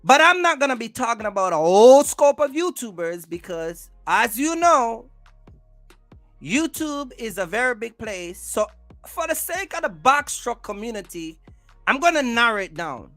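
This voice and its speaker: Casting: content creator hosting a live stream, forceful bright voice, dry close sound, repetitive casual delivery. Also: mid-range voice, big pitch swings, moderate pace, dry recording, faint music behind. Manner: emphatic, repeats phrases and restarts often. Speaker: livestream host, content creator. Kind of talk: casual livestream talk, rambling commentary.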